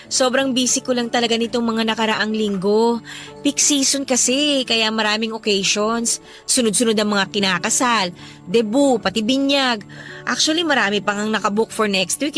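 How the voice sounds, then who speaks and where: A woman's voice: a little high, fairly even intonation, normal speed, fairly bright tone, faint music behind, natural voice. A Filipino woman playing a busy young office worker in a Tagalog radio romance drama, casual, apologetic and guarded. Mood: defensive, light.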